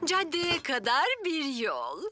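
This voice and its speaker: A woman's voice: high voice, wildly swooping pitch, slow, exaggerated voice. A woman voicing a bossy, sugary shopkeeper mother in a cartoon, scolding yet coaxing.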